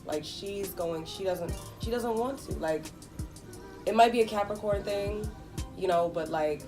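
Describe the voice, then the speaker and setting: A woman in her twenties speaking candidly as an interview guest, reflective and halting, with casual swearing. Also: low-pitched, recorded from a distance. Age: 20s. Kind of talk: candid personal conversation. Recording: off-mic voice under prominent music. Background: music.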